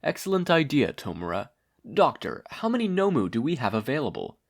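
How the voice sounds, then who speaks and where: A reader as a patronising villain mastermind, lively pitch. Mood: coaxing, smug. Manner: patronising, measured. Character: smooth, scheming older male villain mentor.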